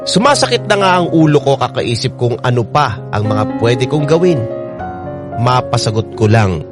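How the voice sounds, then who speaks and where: Radio drama narrator: confiding, lovelorn young Filipino man, played by a man, animated intonation, clear music bed. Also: medium pitch, moderate pace, close to an everyday voice. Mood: resigned, devoted. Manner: earnest, confiding. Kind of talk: scripted first-person radio drama narration. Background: prominent music.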